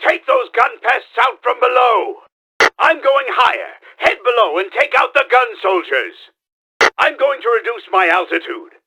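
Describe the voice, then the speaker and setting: A man's voice: very high voice, sing-song, a little slow, gravelly, bright, forceful tone, breathy edge, exaggerated voice. A man playing a pompous, scheming mad-genius villain in a video game, with a theatrical, blustering, commanding manner. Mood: urgent, commanding. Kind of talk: video game character voice lines.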